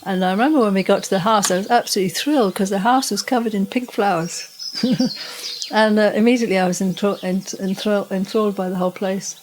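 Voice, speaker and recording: An older woman from Tanzania speaks as a podcast guest, her voice at a middling pitch for a woman. She recalls the past in a loose, meandering way and restarts her sentences often. A faint hiss sits under the recording. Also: steady tone, brisk. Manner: rambling, warm recollection with frequent restarts and soft laughs. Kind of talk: relaxed conversational storytelling.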